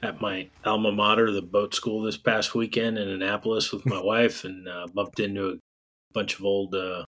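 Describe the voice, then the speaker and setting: A man's voice: lowish voice, little pitch movement, moderate pace, a bit bright, soft background music, poor audio. An American man co-hosting a podcast in casual, enthusiastic conversation. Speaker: podcast co-host. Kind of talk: casual chat.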